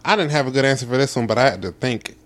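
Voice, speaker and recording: A man in his early thirties chatting casually and comically, telling stories in an animated, self-deprecating way. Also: mid-range voice, racing. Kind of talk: casual comedic chat.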